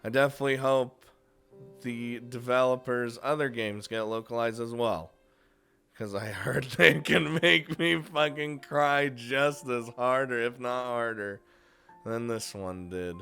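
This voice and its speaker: Male gaming YouTuber, mid-pitched and unhurried, informal and sweary commentary over faint guitar music. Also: gravelly, sharp tone, clear, resonant tone. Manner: informal, chuckling, frequent swearing. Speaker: video game YouTuber hosting a top five list. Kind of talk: casual video commentary on visual novels.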